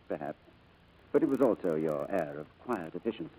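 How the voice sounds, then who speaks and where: Reserved, formal gentleman, vintage radio drama: low, very mellow, hesitant and courteous. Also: big pitch swings, moderate pace, husky, exaggerated voice. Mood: earnest, hesitant. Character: reserved, courteous wealthy English businessman, haunted by lost past. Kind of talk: 1940s radio drama acting. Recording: noisy vintage radio broadcast.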